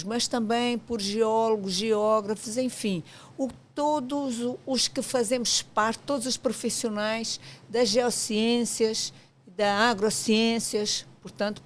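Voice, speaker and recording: A woman from Portugal with a European Portuguese accent, an architect and association president, explaining at a measured pace on a podcast. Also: medium pitch, a little hiss or hum. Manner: measured, explanatory, pauses with "portanto". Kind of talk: podcast interview.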